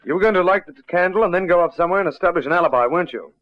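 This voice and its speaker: Confident police sergeant, measured and pressing, close dull old radio sound. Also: male voice, high voice, lively intonation, no echo. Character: steady, dryly confident male police detective sergeant. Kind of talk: old radio drama acting.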